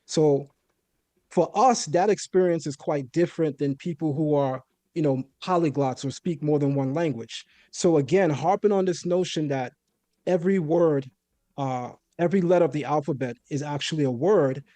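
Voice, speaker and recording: A man raised in a Caribbean home explains a point of language step by step, often checking in with "right?". His voice sits fairly high but sounds mellow and dark. The sound is dry and close. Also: moderate pace, no room sound. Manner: lecturing, methodical, rhetorical "right?". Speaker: guest debater. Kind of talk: explanatory debate on Hebrew.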